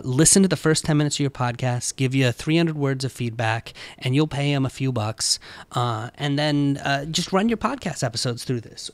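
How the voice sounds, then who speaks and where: A man's voice: a little high, no room sound. A man presenting a casual screen-share tutorial, talking informally through each step.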